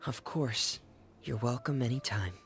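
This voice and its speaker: A man's voice: medium pitch, a little slow, a bit bright, faint music behind. An actor playing a polite, composed young man, a loyal ally in a fantasy video game. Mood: courteous. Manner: courteous, composed.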